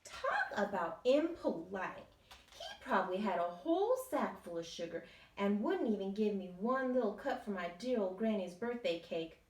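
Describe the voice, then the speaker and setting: A woman's voice: medium pitch, sing-song, normal speed, lightly acted. A woman teacher reading a storybook aloud in the voice of a male wolf who claims he was framed, telling it in a chatty, wry, self-justifying way. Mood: aggrieved, chatty. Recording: roomy echo, distant mic.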